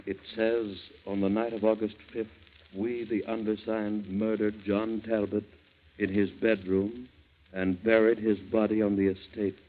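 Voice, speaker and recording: Actor as a low, grave avenging father. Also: flat delivery, lightly acted. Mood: ominous, hushed. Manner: deliberate, grave. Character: calm, vengeful father returned as if from the dead. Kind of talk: 1940s radio acting. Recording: tinny narrow-band old radio recording.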